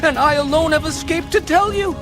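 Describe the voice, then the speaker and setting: An actor playing a terrified servant messenger, the lone survivor, frantic and shrill, in a scripture drama. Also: male voice, fairly quick, music in the background.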